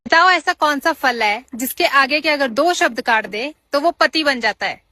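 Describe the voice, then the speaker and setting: A woman's voice: high voice, animated, moderate pace, music in the background. A woman hosting a casual riddle quiz, putting questions and bantering over the answers.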